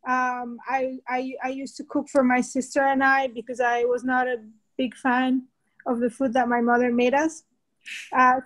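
Woman in her thirties, from Egypt, high voice, flat intonation, reflective interview guest, video call. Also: low-quality recording. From Cairo, Egypt. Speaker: guest, food artist. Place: indoors at home, on a video call. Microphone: computer or phone mic on a video call.

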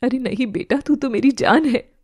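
A woman voicing a stern, bossy older mother-in-law in an animated family drama, scolding and sharp. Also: sits high, moderate pace, husky, no room sound. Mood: soothing.

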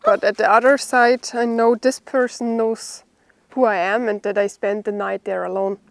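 A young woman from Germany with a German accent, a native German speaker, talking haltingly and unscripted as a bushcraft vlogger. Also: medium pitch, steady tone, creaky, no echo. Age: young adult. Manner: halting, with pauses mid-sentence. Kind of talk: unscripted vlog talk to camera. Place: outdoors in a forest.

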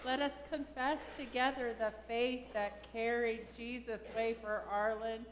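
A middle-aged woman speaking as a pastor, leading liturgical prayer in a measured, solemn way in a church. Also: on the high side, fairly even intonation, a little hiss or hum. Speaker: pastor leading a funeral service. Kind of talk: liturgical prayer and creed recitation. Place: large church sanctuary, at the altar. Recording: echoey church hall with long reverb.

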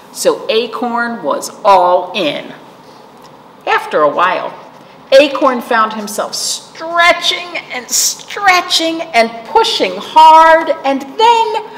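A middle-aged woman reads a story aloud to young children with a very lively, swooping delivery. She takes her time and speaks to listeners directly. The room rings with reverb. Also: medium pitch. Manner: animated, acting out the story with asides to listeners. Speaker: children's librarian and storytime host. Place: indoor library storytime room. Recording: roomy reverb with faint room noise.

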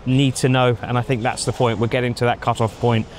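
A middle-aged man giving measured opinions in a casual outdoor chat, thinking aloud with frequent ums, on a lapel mic. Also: mid-range voice, flat delivery, slight background noise. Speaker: pundit. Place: outdoors in a racing paddock at night. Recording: faint outdoor street ambience.

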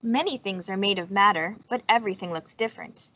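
A woman's voice: medium pitch, brisk, pushed and bright. A woman reading a children's science book aloud over a video call. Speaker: storytime reader. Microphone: video call microphone. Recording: dull, lo-fi video call audio.

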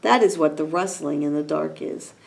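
A woman voicing a gentle, soothing mother duck who calms her ducklings to sleep, speaking softly and reassuringly. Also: low-pitched, normal speed, gravelly. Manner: soft, lulling, reassuring.

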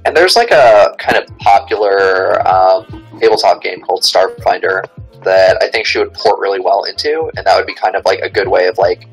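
A man's voice: normal speed, husky, faint music behind, rough recording. A man, not a native English speaker, chatting on a podcast in a hesitant way, often restarting his sentences. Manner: hesitant, restarts, pitch jumps up when animated. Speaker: podcast co-host. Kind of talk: casual podcast chat.

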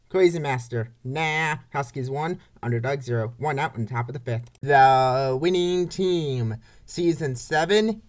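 A man reading scripted play-by-play narration of a comic baseball game. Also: on the high side. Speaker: narrator of a comic dub series.

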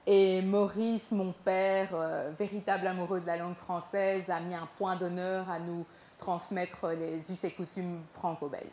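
A young woman who grew up in Belgium, telling her own story to a small audience in a gallery room, halting and repeating words as she goes. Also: on the low side, little pitch movement, a little mellow, reverberant, a little hiss or hum. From Congo, raised in Belgium. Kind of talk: live spoken performance.

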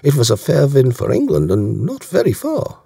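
A man's voice: medium pitch, huge pitch swings, normal speed, creaky, mellow, slightly rough, dry recording, clean studio sound, voice pushed up, heavily acted. A man voicing a bluff, shrewd German naval commander in an audiobook, genial and courteous as he probes with questions. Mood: amused, curious.

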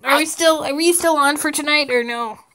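A woman's voice: sits high, a little fast, sharp tone, a little hiss or hum. A woman improvising a game roleplay with friends in a playful, dramatic way. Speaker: player in a video game roleplay.

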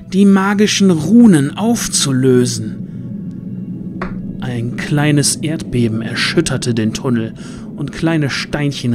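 A man narrating a fantasy audio drama in a suspenseful, deliberate way with dramatic pauses. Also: a little high.

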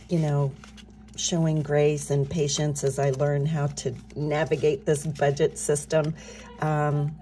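Soft-spoken middle-aged woman hosting a budgeting vlog; low, mellow, slightly scratchy morning voice. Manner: soft-spoken, talking softly. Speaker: budgeting channel host. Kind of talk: casual chat while stuffing cash envelopes. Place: home desk, indoors.

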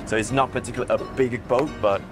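A man's voice: mid-range voice, steady tone, fast, over loud music. A young man vlogging outdoors on a tropical island, explaining the tour in a chatty, playful way into a lapel mic. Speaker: travel vlogger. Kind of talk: casual travel vlog narration.

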